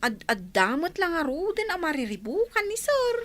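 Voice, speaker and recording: A woman's voice: very high-pitched, big pitch swings, moderate pace, fairly bright tone, full character voice. A woman playing an outspoken, scolding house helper in a radio comedy drama, blunt and questioning. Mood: disapproving.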